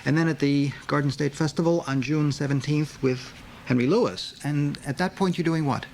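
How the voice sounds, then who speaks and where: Radio host, male: deliberate questioner, a somewhat high and gravelly voice, archival broadcast with faint noise. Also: darkish tone. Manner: deliberate questioner, searching for phrasing. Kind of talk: radio interview.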